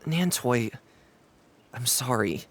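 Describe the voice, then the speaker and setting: A man's voice: medium pitch, lively intonation, slow pace. Solemn, remorseful young man. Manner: hesitant, solemn. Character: remorseful, dutiful young male house member. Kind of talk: scripted game dialogue.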